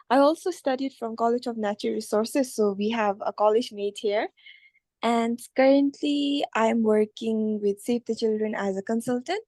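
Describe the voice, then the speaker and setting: A woman's voice: a little high, dark tone, dry recording. A woman in her twenties moderating an informal online webinar. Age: 20s. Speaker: session moderator and consultant. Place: online video call.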